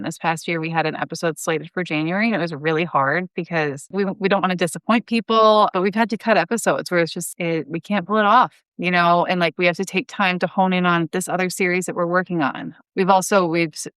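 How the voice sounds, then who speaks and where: A woman's voice: lowish voice, brisk, few pauses, a bit bright, smooth, no echo. A woman in her thirties talking casually and conversationally as an interview guest. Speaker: podcast guest, audio drama creator.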